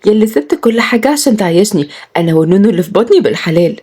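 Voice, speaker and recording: A woman narrator plays a young Egyptian wife in a romantic audio story. She is gentle and devoted, with a husky, quick delivery. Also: mid-range voice, lively intonation. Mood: loving. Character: gentle, loving young wife, mildly scolding older sister.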